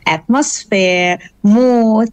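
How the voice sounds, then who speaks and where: A middle-aged woman from Hungary with a Hungarian accent, a guest in an interview who explains her views carefully and pauses often on "uh" while searching for words. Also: a little high, no room sound. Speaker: interview guest, gamelan singer. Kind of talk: thoughtful interview answers.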